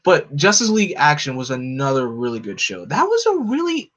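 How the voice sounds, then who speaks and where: A fairly high-voiced man holds forth on a casual home stream, opinionated and animated, his voice leaping up whenever he gets excited. The audio is dull and lo-fi. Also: fairly quick. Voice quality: no regional accent. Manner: animated, opinionated, jumps up in pitch when excited. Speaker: streamer host. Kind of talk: casual chat to a live audience.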